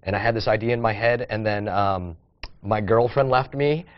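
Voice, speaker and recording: A man's voice: fairly low pitch, normal speed. A man in his thirties, a comedian guest on a TV morning show, telling jokey stories about his life. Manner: storytelling, jokey. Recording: dull TV broadcast sound.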